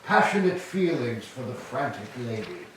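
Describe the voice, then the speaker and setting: A man's voice: medium pitch, sing-song, moderate pace, natural voice. A man playing an evasive Greek herald bearing grim news, with emphatic delivery in a staged tragedy reading. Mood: brisk, insistent. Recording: distant, echoey hall with background noise.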